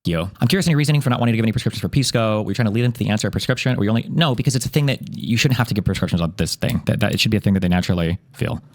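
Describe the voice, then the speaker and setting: A man's voice: fairly low pitch, animated, racing, hardly any pauses, darkish tone, no room sound, clean studio sound. A man chatting casually and informally on a livestream, with some swearing.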